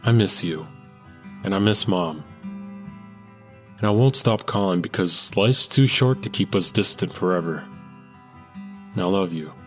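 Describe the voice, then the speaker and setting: A young man in a radio drama leaves heartfelt voicemails for his estranged sister. His voice is very low and earnest, with soft music under him. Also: measured pace, pauses often. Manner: earnest, vulnerable.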